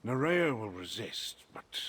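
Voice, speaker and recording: An actor playing a proud, wary druid in a fantasy video game, speaking gravely and solemnly with a rough-edged voice. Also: male voice, mid-range voice, sing-song, unhurried, a bit bright, a little hiss or hum. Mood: weary, sure. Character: wary, proud male druid of an island circle, grave and guarded.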